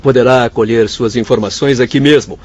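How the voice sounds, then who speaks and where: A man's voice: mid-range voice, steady tone, brisk, darkish tone, lightly acted. A man acting a solemn, kindly doctor spirit in a radio drama, speaking formally and eloquently. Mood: solemn, advising. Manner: formal, earnest, eloquent phrasing.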